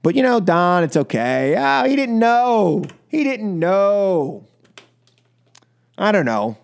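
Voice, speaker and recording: A man's voice: high-pitched, big pitch swings, takes it slowly, creaky, clean tone. An American man hosting political talk radio, speaking emphatically and with exasperation, often repeating phrases and posing rhetorical questions. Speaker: radio talk show host. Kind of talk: political opinion monologue and caller talk on radio.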